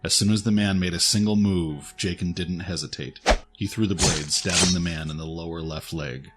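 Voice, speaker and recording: A man with a husky voice reading scripted fiction as a narrator. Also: low-pitched, steady tone, normal speed, fairly bright tone. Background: music.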